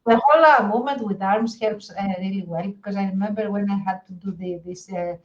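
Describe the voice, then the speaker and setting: A woman's voice: mid-range voice, fairly quick, highs cut off. A woman co-hosting a podcast, chatting casually in a hesitant, self-deprecating way. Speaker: podcast co-host. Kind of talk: casual chat.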